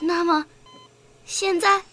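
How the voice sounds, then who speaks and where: Female performer as upset young daughter, pleading, high-pitched, sharp bright tone, radio play. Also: steady tone, slow pace, soft background music, full character voice. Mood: tearful, amazed. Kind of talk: radio drama acting.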